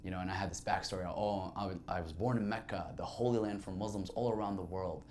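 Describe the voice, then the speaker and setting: A man's voice: low-pitched, fairly even intonation, fast talker, noisy background. A young man, a native Arabic speaker from Saudi Arabia, telling his life story as a podcast guest in a candid, reflective way with many fillers.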